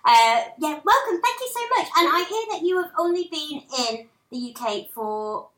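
A woman's voice: high voice, big pitch swings, moderate pace, fairly bright tone. Chatty woman, self-mocking, roomy. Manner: chatty, self-deprecating, giggly. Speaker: podcast host. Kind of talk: casual podcast chat. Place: small flat.

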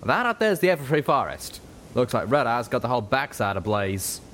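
A man's voice: mid-range voice, big pitch swings, brisk, a bit bright, a little hiss or hum, voice pushed up. A man voicing a brash male pegasus who talks in a blunt, folksy drawl, in an audiobook. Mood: matter-of-fact.

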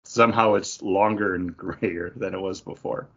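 Male podcast co-host, husky and on the low side, dull lo-fi sound, casual chat. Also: steady tone, normal speed, fairly bright tone. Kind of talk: casual pop-culture chat.

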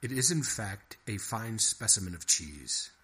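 A man voicing a formal, deadpan male security guard who speaks in precise, stiff sentences. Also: lowish voice, unhurried, slightly rough, full character voice.

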